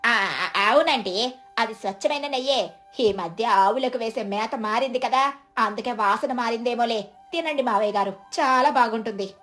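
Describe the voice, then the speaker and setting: Casting: woman as thrifty village wife, very high plaintive voice, deferential excuses, story drama with soft music beneath. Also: normal speed, lightly acted. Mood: deferential, evasive. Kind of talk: dramatised Telugu moral story acting.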